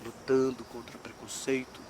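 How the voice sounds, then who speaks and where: A man's voice: medium pitch, level tone, normal speed, noisy background. A Brazilian man interviewing, speaking formally and at length in legal terms. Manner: formal, long-winded, legalistic phrasing. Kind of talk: interview questions.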